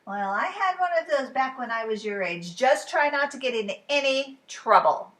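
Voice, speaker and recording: Exasperated storybook dad, read by a woman: stern, emphatic, with big swings in pitch. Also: expressive, normal speed, fairly bright tone. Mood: dismissive. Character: exasperated father of a young boy. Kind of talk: storybook character voice.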